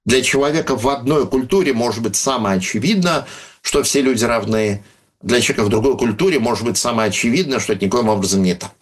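A man explaining ideas in unscripted talk, thoughtful and pausing often with hesitations. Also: medium pitch, animated. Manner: thoughtful, many hesitations, reads out listener questions. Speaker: speaker answering questions on philosophy of religion.

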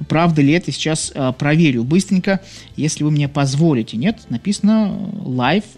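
A man's voice: fairly high pitch, lively intonation, normal speed, a little mellow. A man from Leningrad hosting a live music stream, chatting casually with viewers, hesitant with many fillers and a slight burr on his r sounds. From Leningrad, Russia. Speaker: radio-style music show host.

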